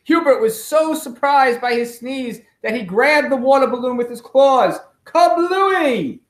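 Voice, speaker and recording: A middle-aged man, a school principal, reading a storybook aloud expressively and pausing with chatty asides and questions for children. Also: extremely high pitch, expressive. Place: indoors at home. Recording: echoey room in a home.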